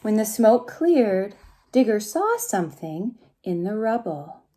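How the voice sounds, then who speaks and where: Female preschool storyteller, mellow and unhurried, very animated pitch, gentle and engaging with little listeners. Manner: gentle, asks the listening children questions. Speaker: preschool story time reader. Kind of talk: expressive picture-book read-aloud for young children.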